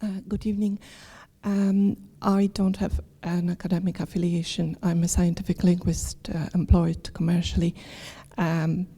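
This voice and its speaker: Dark-voiced woman asking from the floor. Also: lowish voice, flat delivery, normal speed, husky. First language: Polish. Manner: careful, hesitant, many fillers. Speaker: audience member asking a question. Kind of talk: question from the floor. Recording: reverberant lecture hall.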